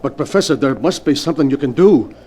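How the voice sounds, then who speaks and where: A man's voice: medium pitch, lively intonation, fast, warm tone, close to an everyday voice. A man acting a desperate, tormented gangster in 1940s radio-drama style, stammering and panicky.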